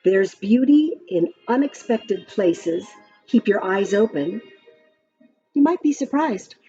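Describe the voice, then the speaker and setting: A woman reading a children's picture book aloud in a gentle, expressive storytime voice. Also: mid-range voice, slow pace, breathy edge, muffled recording, soft background music.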